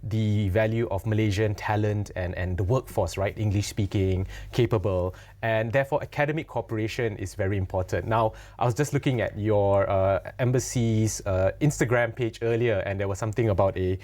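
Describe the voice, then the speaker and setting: A man's voice: lowish voice, normal speed, hardly any pauses, a little mellow. A man hosting a broadcast interview, asking long, conversational questions with some fillers. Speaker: host.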